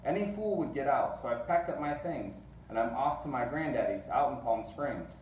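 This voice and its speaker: A man voicing a hurried chap calling brisk warnings in a children's storybook. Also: mid-range voice, lively intonation, dull audio, natural voice. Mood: urgent. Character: hurried, fleeing chap in a rain slicker. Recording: distant mic, roomy echo, faint noise.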